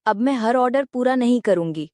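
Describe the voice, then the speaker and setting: A woman's voice: fairly high pitch, animated, moderate pace, a little mellow, no echo, studio quality, close to an everyday voice. A woman voicing a hardworking young daughter-in-law in a cartoon story, firm and indignant. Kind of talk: cartoon voice acting.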